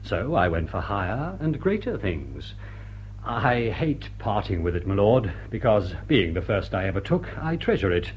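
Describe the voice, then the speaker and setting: An actor playing a suave, world-weary male gentleman crook, urbane and dryly courteous, in a vintage drama. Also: on the low side, very animated, moderate pace, breathy edge. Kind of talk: vintage drawing-room drama acting. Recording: noisy, humming old soundtrack.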